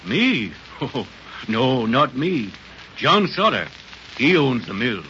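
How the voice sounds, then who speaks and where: An actor voicing an excitable, irritable American frontier sawmill builder in 1930s radio style. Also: male voice, mid-range voice, takes it slowly, full character voice. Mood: eager. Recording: muffled, hissy 1930s radio recording.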